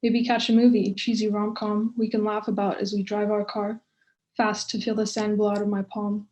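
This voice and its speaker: Woman, dark mellow voice, flat intonation, understated poetry recitation. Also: medium pitch, normal speed, low-quality recording. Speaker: poet reading a poem.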